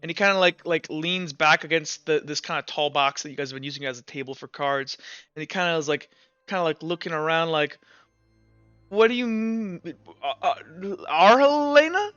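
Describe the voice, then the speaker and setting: A man narrating as game master of a tabletop roleplaying game, improvising in a halting, repetitive way. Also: a little high, fairly even intonation, bright, forceful tone, clean tone, dry recording, highs cut off, faint music behind.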